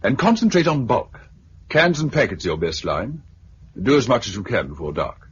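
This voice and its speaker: A man with a British accent playing a brisk, affable organiser of survivors in a 1950s radio drama. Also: medium pitch, very animated, close to an everyday voice. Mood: brisk, organising. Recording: muffled, hissy 1950s radio recording.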